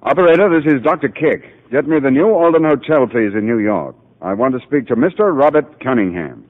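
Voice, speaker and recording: Actor as a probing psychiatrist with lively intonation. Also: male voice, medium pitch, lightly acted. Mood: businesslike. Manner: measured, gently insistent questioning. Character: calm, probing male psychiatrist, patient and authoritative. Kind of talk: 1950 radio drama acting. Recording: muffled narrow-band 1950 radio broadcast.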